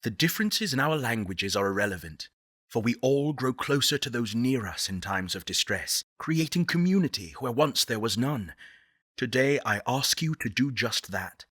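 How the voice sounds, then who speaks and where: A man playing an earnest, eloquent space-program director in a video game, speaking in measured, deliberate oratory. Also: mid-range voice, animated, fairly bright tone, no echo, studio quality. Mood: lofty, persuasive. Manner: articulate, deliberate, solemn.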